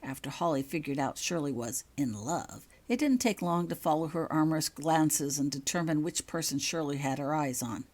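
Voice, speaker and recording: A woman's voice: low voice, expressive, normal speed, few pauses, a little mellow. A woman reading her own written fiction aloud as audiobook-style narration.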